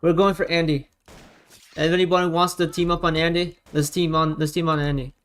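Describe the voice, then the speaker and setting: A man from the United States giving casual gameplay commentary, rallying teammates with quick repeated calls. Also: fairly high pitch, flat delivery, a bit bright, clean tone. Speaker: gamer streamer.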